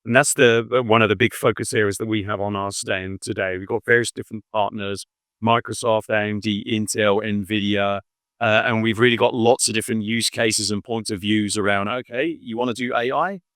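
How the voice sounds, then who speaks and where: A man from England, speaking as a corporate marketing director in a relaxed trade show interview, explaining fluently with plenty of "you know.". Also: on the low side, brisk, no room sound.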